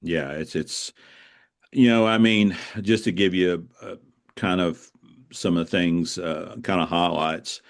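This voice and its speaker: A man being interviewed as an author, telling stories in a reflective, conversational way, earnest and halting, repeating phrases for emphasis. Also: low voice, steady tone, vocal fry.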